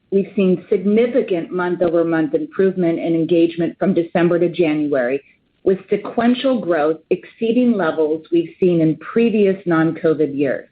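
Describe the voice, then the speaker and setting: A woman reads prepared financial results in a businesslike, precise manner. Her voice sits somewhat low. The sound is thin and narrow, like a telephone conference line. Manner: formal, businesslike, precise with figures. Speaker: finance executive. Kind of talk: scripted financial results presentation. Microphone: phone conference call. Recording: tinny narrowband phone line.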